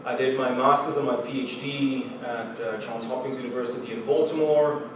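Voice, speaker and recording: A middle-aged man with a Trinidadian accent, giving a recorded chemistry lecture in a measured, earnest way, often pausing with "uh" and "um" and repeating words. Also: medium pitch, steady tone. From Trinidad and Tobago. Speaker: chemistry professor. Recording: very echoey room from far off, background noise.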